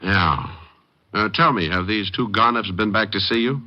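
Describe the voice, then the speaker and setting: An actor playing a breezy, wisecracking hardboiled private detective with sardonic, slangy patter in old radio drama style. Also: male voice, lowish voice, moderate pace, lightly acted. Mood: wry, teasing. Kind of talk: 1940s-50s radio detective drama acting. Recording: muffled vintage radio recording.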